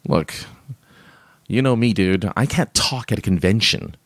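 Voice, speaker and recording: A man's voice: fairly low pitch, measured pace, no room sound. A man hosting a live stream, chatting casually and reading viewer comments, rambling with many fillers and restarts and laughing often.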